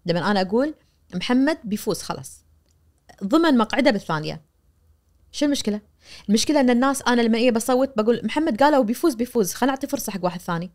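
A woman in her forties with a Kuwaiti accent, a media professor explaining things animatedly as a guest on a studio podcast, recorded on a boom mic. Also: rapid-fire. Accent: Kuwaiti Arabic. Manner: animated, gesturing, acts out quoted voices. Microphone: boom-arm studio mic.

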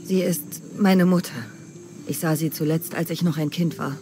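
A woman's voice: sits low, steady tone, moderate pace, music in the background, natural voice. A woman voicing a determined young mercenary in a video game, earnest and direct. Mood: somber, guarded. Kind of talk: video game cutscene dialogue. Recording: clear outdoor ambience behind.

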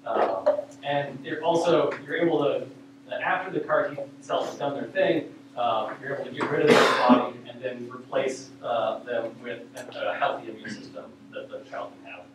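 A young man gives an informal research talk in a lecture hall. His delivery is hesitant and full of ums, at a middling pitch. The sound is very reverberant and picked up from far away, with clear noise behind him. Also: normal speed. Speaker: presenter of a research news roundup. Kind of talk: informal academic presentation. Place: university lecture hall, indoors. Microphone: far camera microphone. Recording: echoey room, distant mic, clear background noise.

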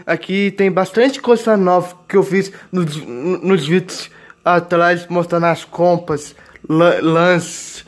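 A man's voice: high voice, takes it slowly. A young man in his late teens with a Brazilian accent, chatting casually and repetitively as he vlogs in a home kitchen. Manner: repetitive, points things out with filler tags. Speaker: vlogger. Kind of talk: casual grocery haul chat. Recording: clear noise, crinkling plastic bags. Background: plastic bags crinkling.

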